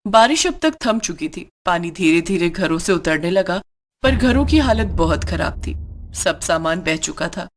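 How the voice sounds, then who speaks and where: A woman's voice: fairly low pitch, animated, normal speed, slight background noise. A woman narrator reading a scripted cartoon story.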